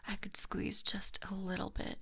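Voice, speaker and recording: A woman voicing a possessive, smugly teasing snake monster in an intimate, breathy ASMR roleplay, close to the microphone. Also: medium pitch, completely flat delivery, exaggerated voice. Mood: possessive, soothing. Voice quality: breathy, whispery. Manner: slow, smug, softly menacing. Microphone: close binaural microphone.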